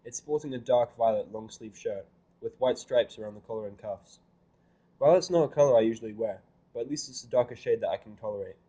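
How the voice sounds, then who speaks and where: A man reading a fan fiction story aloud in the first person, at an average pitch for a man. His narration is dry and a bit irritable. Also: brisk, dark tone, lo-fi sound. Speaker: reader.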